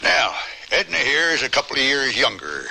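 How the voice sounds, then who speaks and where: Crafty old Western rancher, bright-voiced. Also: male voice, mid-range voice, very animated, normal speed, gravelly, exaggerated voice, poor audio. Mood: folksy, shrewd. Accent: American. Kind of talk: old-time Western radio drama acting. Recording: faint noise on old radio recording.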